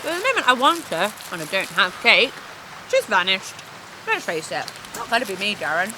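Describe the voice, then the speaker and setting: A woman's voice: mid-range voice, very animated, moderate pace, lightly acted. An actress playing a caring, plain-speaking, world-weary woman with a wry, frank manner in an audio comedy drama. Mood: resigned. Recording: clearly noisy, rain-like background.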